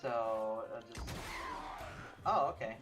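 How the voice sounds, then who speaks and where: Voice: mid-range voice, takes it slowly. An easygoing, amused streamer chatting casually while playing a game. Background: clear game music.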